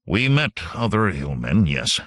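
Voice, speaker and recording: A blunt young warrior of a fantasy audiobook, acted by a male narrator, speaks low and with feeling. His words are grave and formal, with ardent swings in tone and a stern, honest temper. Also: sing-song, unhurried, a bit bright, no echo, clean studio sound, full character voice. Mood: grim, resolute. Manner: grave, formal, declarative speech.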